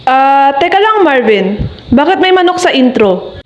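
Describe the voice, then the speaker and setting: A woman's voice: high voice, sing-song, a little slow. A woman with a Filipino accent playing a witty, blunt radio host in a comedic radio drama. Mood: exasperated host. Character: witty, blunt radio host improvising through a chaotic broadcast. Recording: dull, noisy lo-fi recording with clicks.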